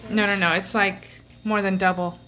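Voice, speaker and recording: A woman's voice: medium pitch, little pitch movement, moderate pace, bright, forceful tone. A woman explaining something casually, like a parent talking to family. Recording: noisy home recording.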